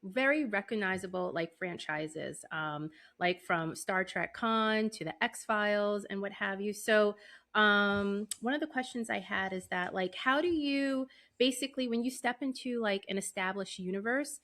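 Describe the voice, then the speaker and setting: A woman's voice: mid-range voice, a little slow, a bit bright. A woman hosting a podcast, asking questions in a casual, conversational way. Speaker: podcast host.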